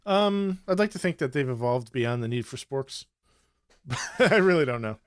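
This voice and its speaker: A man's voice: medium pitch, expressive, moderate pace, no room sound, studio quality. A man talking informally and casually as a podcast co-host. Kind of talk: casual chat.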